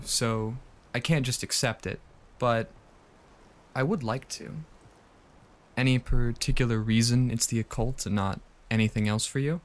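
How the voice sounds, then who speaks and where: A man's voice: medium pitch, close to an everyday voice. An actor playing a young male radio host, off air and speaking in an earnest, thoughtful, slightly wry way. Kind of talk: audio drama acting.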